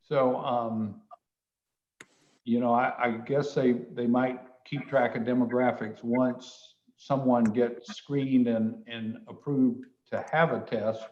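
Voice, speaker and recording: A man chairing a meeting as mayor, answering in a halting, deliberate way on an echoey conference call. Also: mid-range voice, fairly even intonation, lo-fi sound. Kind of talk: replying and moderating in a meeting.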